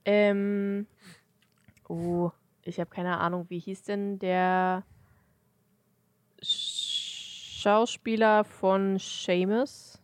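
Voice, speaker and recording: A woman's voice: mid-range voice, flat delivery, drawn-out words, pauses often, clean tone, dry recording. A woman co-hosting a podcast, chatting casually as a fan. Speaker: podcast co-host.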